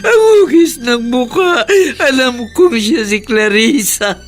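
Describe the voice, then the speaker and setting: Slow-speaking, anxious, guilt-ridden mother. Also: on the high side, animated. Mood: tearful fear. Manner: fearful, slow, weighted delivery. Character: anxious, guilt-ridden mother who sold her child. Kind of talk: Tagalog radio drama acting. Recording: music behind studio drama voice.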